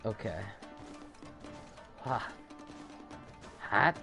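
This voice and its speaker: A man casually commenting on a game as he plays, thinking aloud in a halting, rambling way. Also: lowish voice, animated, music in the background. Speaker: gamer playing and commenting.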